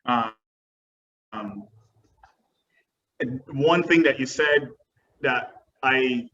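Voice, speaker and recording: A man's voice: medium pitch, very slow, bright, forceful tone. A young man, a guest dance teacher, answering webinar questions earnestly with frequent ums. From Barbados. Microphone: video call. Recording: dull distant video-call audio with faint noise.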